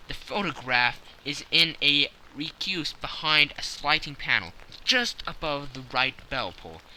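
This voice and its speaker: Confident gentleman sleuth, played by a male reader, precise and self-satisfied, keen forward tone with lively inflection. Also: medium pitch, slight background noise, low-quality recording. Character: confident, analytical gentleman detective explaining his schemes. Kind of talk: audiobook character acting.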